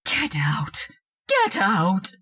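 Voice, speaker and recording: A woman's voice: medium pitch, wildly swooping pitch, very slow, bright, forceful tone, breathy edge, exaggerated voice. A woman playing a bossy, scolding, histrionic wife haunted by an intruder in an old-time radio horror drama. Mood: frantic. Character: shrill domineering wife, frightened by a ghostly intruder.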